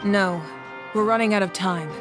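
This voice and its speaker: An actress playing a confident, composed warrior ruler in a video game, speaking with measured authority. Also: female voice, mid-range voice, close to an everyday voice. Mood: commanding. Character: commanding, confident adult female ruler and warrior deity. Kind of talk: dramatic video game voice acting. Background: music.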